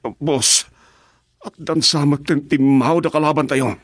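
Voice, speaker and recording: Male gang henchman, Filipino accent, higher-pitched, uneasy, deferential, radio drama. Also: fairly even intonation, unhurried, slightly rough, no echo, clean studio sound. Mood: nervous, apologetic. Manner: hesitant, deferential.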